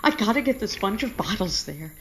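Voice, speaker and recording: A woman's voice: medium pitch, sing-song, fairly quick, a bit bright, slight background noise, rough recording. Casting: actress for elderly female vagrant in a horror radio play, plaintive, indignant, given to muttering to herself. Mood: weary muttering. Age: older. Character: weary, indignant homeless old bag lady who mutters to herself. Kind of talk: audio horror drama acting.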